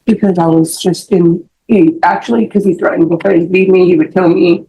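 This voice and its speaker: Gravelly-voiced American woman testifying, rambling. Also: on the low side, steady tone, highs cut off. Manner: rambling, repetitive, halting. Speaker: defendant testifying in court. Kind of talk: spoken testimony answering questions. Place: courtroom.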